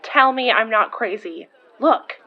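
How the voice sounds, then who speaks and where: An actress playing a protective, blunt young sidekick in audio fiction, talking in an informal, wry and emphatic way. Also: female voice, a little high, unhurried, muffled recording. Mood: urgent, curious. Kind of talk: audio fiction dialogue acting.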